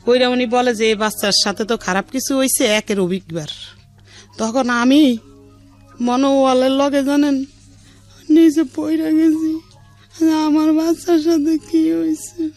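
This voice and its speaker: A woman's voice: high-pitched, fairly even intonation, measured pace, pauses often, a little mellow. A middle-aged woman with a colloquial Bangladeshi dialect giving a rambling, repetitive personal account as a radio interview guest. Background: faint music.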